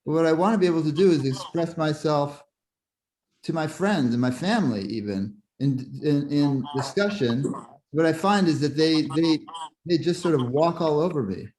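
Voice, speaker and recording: A man with a slightly high pitch for a man speaks up over a video call. He talks haltingly, repeating words as he searches for how to phrase himself. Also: darkish tone, low-quality recording. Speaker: audience member asking a question. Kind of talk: casual question on a video call.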